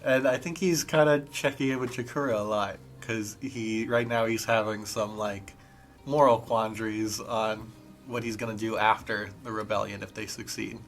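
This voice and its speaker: A man's voice: fairly low pitch, fairly even intonation, normal speed, soft background music. A man chatting casually as a player in a tabletop role-playing game.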